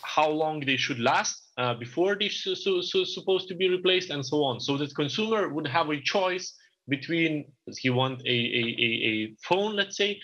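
A man's voice: medium pitch, bright, forceful tone. A man in his thirties answering panel questions from an office over a video call, full of fillers and restarts. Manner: halting, many restarts and fillers. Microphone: video call webcam. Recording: dull lo-fi video call audio.

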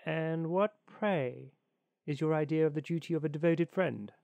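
A man voicing a small, wise male green linnet in a storybook reading, gently probing. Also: fairly high pitch, normal speed, vocal fry, no echo.

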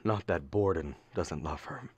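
A man playing an exasperated, blustering middle-aged father and husband in a radio comedy play, halting and strained. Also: sits low, expressive, darkish tone, dry recording, heavily acted. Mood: subdued. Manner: halting, throat-clearing, erupting when frustrated. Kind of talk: stage comedy acting for radio.

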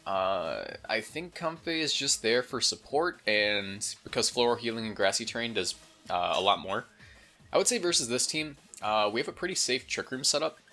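Bright-voiced, slightly congested man commentating a game. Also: mid-range voice, animated, normal speed, faint music behind. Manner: informal, thinking aloud. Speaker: gaming video host. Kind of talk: casual gameplay commentary.

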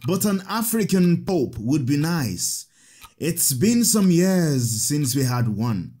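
A kind scholarly priest in an audio drama, slow patient teacher, really expressive pitch. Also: male voice, breathy edge, exaggerated voice. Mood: gently instructive. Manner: patient, explanatory, measured.

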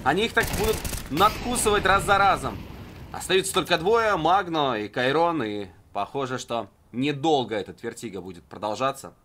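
A man's voice: a little high, animated, moderate pace, bright, forceful tone, a little hiss or hum. A man giving conversational live esports commentary in a wry, joking manner.

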